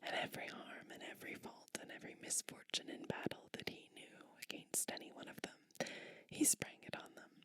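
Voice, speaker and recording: A woman whispering ASMR storytelling and bedtime roleplay in a gentle, soothing manner. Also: fairly quick. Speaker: ASMR host playing a sleep witch.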